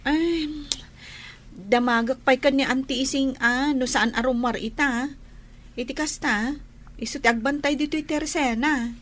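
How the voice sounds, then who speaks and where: Babaeng gumaganap na dalagang taga-baryo, mataas ang boses. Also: female voice, high voice, measured pace, long pauses, fairly bright tone. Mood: shy, friendly. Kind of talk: Philippine radio-style story drama.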